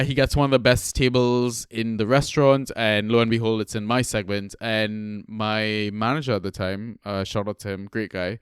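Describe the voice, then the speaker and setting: Young man from trinidad, podcast co-host, loose chatty delivery, smooth voice, dry close mic. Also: lowish voice, dry recording. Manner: laid-back, swears freely, lots of fillers. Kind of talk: casual chat, storytelling.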